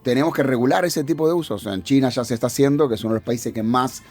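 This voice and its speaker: A man with a Uruguayan accent speaks as a guest in a radio discussion. His pitch is average for a man, and his delivery is conversational. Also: fast, a little mellow. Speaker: panel guest, tech executive.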